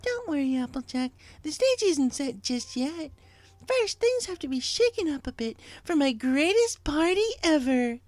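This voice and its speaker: A man's voice: extremely high pitch, big pitch swings, unhurried, no room sound, voice pushed up, exaggerated voice. A man voicing a female killer pony who is menacing and frighteningly cheery, taunting in a mock-sweet, sing-song way, in a read-aloud story. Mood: mock-hurt, sinister.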